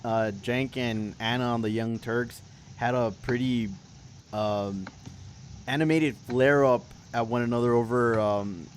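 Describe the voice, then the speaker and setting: Male talk show host, medium pitch, hesitant and conversational, audible background noise. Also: steady tone, unhurried. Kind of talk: casual news discussion.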